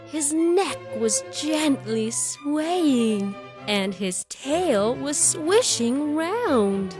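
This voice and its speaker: A woman narrating a children's storybook in rhyme, with an expressive, deliberate and rhythmic delivery. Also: on the high side. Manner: deliberate, rhythmic verse reading. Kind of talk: expressive scripted read-aloud for young children.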